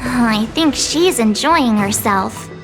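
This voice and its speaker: A woman playing a perky, outspoken teenage girl adventurer in a game, with a cheeky, expressive way of talking. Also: fairly high pitch, takes it slowly, over music. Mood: cheeky, let down. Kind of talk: animated game dialogue.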